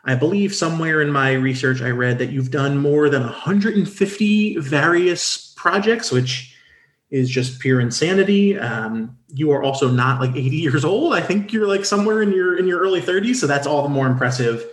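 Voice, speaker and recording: A man's voice: fairly high pitch, expressive, moderate pace. Male podcast cohost, lots of ums and restarts, roomy echoey sound. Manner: chatty, hesitant, lots of fillers and restarts. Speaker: podcast co-host. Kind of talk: casual podcast interview.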